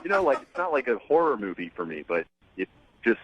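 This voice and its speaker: A man on a phone call to a radio show, speaking hesitantly with many pauses, restarts and "um"s. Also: fairly low pitch, sing-song, a bit bright, husky, no echo. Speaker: caller on the phone. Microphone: telephone. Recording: muffled phone line.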